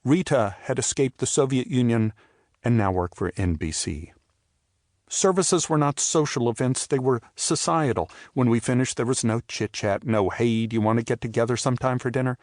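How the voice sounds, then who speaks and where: An older man narrates an audio drama in a medium-pitched voice. His delivery is scripted yet personal, reflective and measured, recorded close and dry with little room sound. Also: darkish tone, no room sound. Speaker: narrator and playwright telling his own story.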